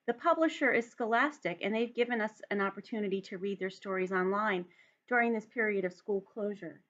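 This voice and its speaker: A woman speaking as a teacher at storytime, addressing young children directly with expressive, careful delivery. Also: medium pitch, a little fast. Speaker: teacher reading a story to students. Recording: dull, lo-fi home recording.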